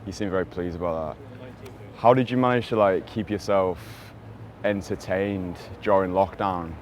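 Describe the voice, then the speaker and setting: A man's voice: low-pitched, normal speed. A man doing a cheeky street interview outdoors, teasing and joking with a playful manner.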